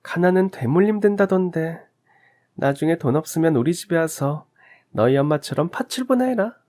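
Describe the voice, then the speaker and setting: A man's voice: a little high, expressive, normal speed, darkish tone, voice pushed up, lightly acted. A spiteful teenage schoolgirl bully in a radio-drama story, her taunts sneering and mocking, as a male narrator puts her on. The voice is pushed well above the narrator's own.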